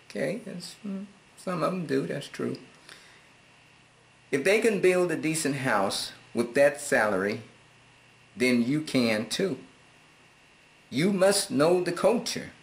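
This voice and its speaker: Slow, drawling man answering comments. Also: medium pitch, creaky. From United States. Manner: laid-back, amused, drawn-out and sarcastic. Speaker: vlogger. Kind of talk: reading and answering viewer comments.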